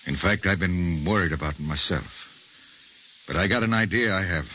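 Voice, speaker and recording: An actor playing a gruff, suspicious, folksy police sergeant in an old-time radio drama. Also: male voice, low voice, normal speed, pushed and bright. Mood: eerily musing. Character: gruff, suspicious male police sergeant, secretly a mad killer. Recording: noisy old radio recording.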